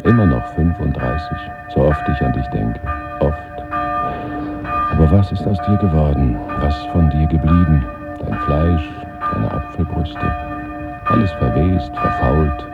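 Radio drama: brooding inner monologue, halting, gravelly, very low, over loud bells in a dull recording. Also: male voice, lively intonation, loud music behind the voice, full character voice. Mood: wistful, brooding. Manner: musing, halting, speaking to himself. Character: brooding man remembering his dead, musing inwardly. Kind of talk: radio play interior monologue. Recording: muffled 1970s radio, loud tolling bells.

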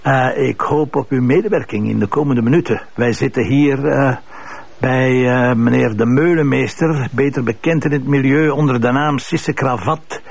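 Police commissioner, Flemish accent, gravelly, husky, dry sardonic manner, close dry radio sound. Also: male voice, mid-range voice, normal speed, no echo. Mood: formal.

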